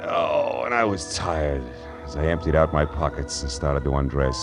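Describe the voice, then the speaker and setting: Casting: hard-boiled 1940s radio detective. Deep, animated delivery, wry, patient, world-weary and sure of himself. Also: male voice, normal speed, vocal fry, music in the background, heavily acted. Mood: weary, reflective. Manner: wry, assured, clipped hard-boiled phrasing. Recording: vintage 1940s radio broadcast.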